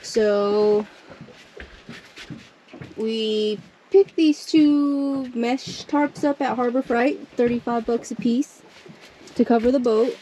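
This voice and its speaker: A woman's voice: fairly high pitch, slow, long pauses, mellow, a little hiss or hum, rough recording. A woman chatting casually as a vlogger, rambling and trailing off mid-sentence, outdoors on a boat on a lake, recorded on an action camera. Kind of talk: casual vlog narration. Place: outdoors on a boat on a lake, under a mesh tarp.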